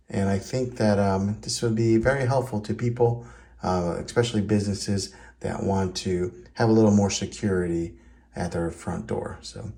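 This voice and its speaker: A man with a fairly low voice talks through a product in a casual, conversational review style. He explains each step plainly, as a presenter would. The sound is roomy and clearly echoey. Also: a little mellow.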